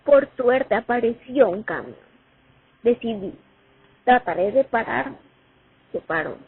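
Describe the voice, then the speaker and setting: A high-voiced female war veteran, played by an actress tells her memories of the front with lively, dramatic swings in a radio play. The sound is tinny and phone-like. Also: slow pace, husky, exaggerated voice. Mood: reminiscing. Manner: reminiscing, expressive, dramatic. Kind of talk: radio play monologue, first-person testimony. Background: music.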